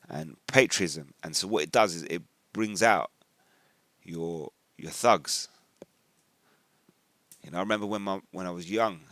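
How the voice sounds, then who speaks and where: A man with an English accent and a notably deep voice speaks in an informal commentary style. His wording is colloquial and slangy, and he slips into quoted remarks as he explains things. The sound is dry, with very little room around the voice. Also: fairly bright tone, no echo. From England. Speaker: religious video commentator. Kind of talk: informal spoken commentary with personal anecdotes.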